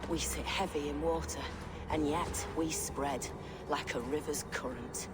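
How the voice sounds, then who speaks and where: Exposed conspirator, male actor, video game: portentous and defiant, reverberant, with clear noise behind. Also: fairly high pitch, steady tone, measured pace, close to an everyday voice. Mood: defiant, grim. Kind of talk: dramatic poetic video game dialogue.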